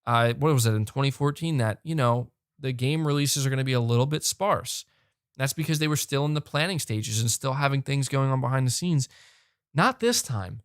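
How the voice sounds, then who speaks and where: A young man hosting a live podcast, talking fast and animated, repeating words when fired up and losing his voice. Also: medium pitch, no echo, clean studio sound. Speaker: podcast host reading super chats. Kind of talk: excited live-stream chat. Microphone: close desk mic.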